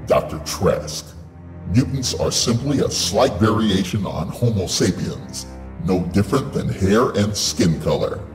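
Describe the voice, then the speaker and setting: An actor playing a giant, menacing robot overlord in an audio drama, speaking coldly and slowly. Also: male voice, lowish voice, lively intonation, echoey room, music in the background. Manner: cold, slow and menacing.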